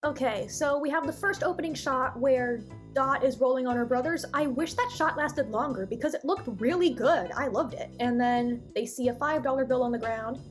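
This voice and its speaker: A woman reviewer giving casual, opinionated cartoon commentary with animated asides and exclamations. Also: sits high, normal speed, few pauses, faint music behind. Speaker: video reviewer.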